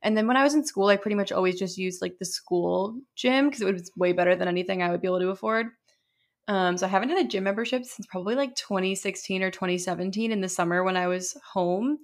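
A young woman co-hosting a podcast in casual chat, talking loosely with many fillers and a slightly hoarse voice. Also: medium pitch, fast. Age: young adult. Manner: chatty, lots of fillers. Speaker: podcast co-host.

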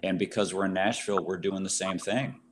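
A man with a fairly low voice, speaking earnestly about life as a working musician. A faint background hum sits under his talk. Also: fairly even intonation, brisk. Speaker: band member. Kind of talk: casual interview chat.

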